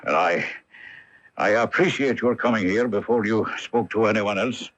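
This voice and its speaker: Stately, troubled Duke with husky voice. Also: male voice, fairly low pitch, a bit bright. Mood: guarded. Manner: stately, formal, deliberate. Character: proud, troubled aristocratic Duke, a father. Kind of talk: 1980s radio mystery acting. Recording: dull lo-fi AM radio recording.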